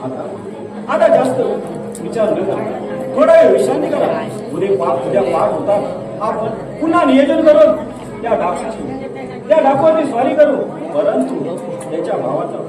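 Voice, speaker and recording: Man acting a high-voiced, stately folk-drama king. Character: cautious, authoritative king advising his officers. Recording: very echoey hall, distant mic, crowd, music.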